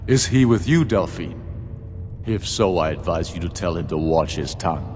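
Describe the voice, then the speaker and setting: An actor playing a proud, defiant rebel warlord in a fantasy game, haughty and forceful. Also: male voice, low voice, animated, moderate pace, long reverb tail, a little hiss or hum. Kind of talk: dramatic fantasy game dialogue.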